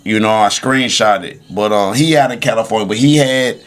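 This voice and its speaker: A man's voice: fairly low pitch, a little fast, fairly bright tone. A man in casual podcast chat, talking in an emphatic, slangy way and repeating phrases for stress. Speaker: podcast host.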